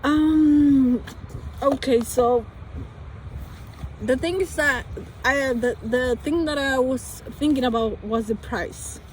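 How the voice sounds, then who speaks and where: A young Colombian woman with a Spanish accent, talking through her ideas out loud, hesitating and restarting her sentences. Her voice sits fairly high. Faint city traffic hums behind her outdoors. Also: slow, pauses often, poor audio. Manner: hesitant, many restarts and fillers. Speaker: vlogger. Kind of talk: thinking aloud monologue.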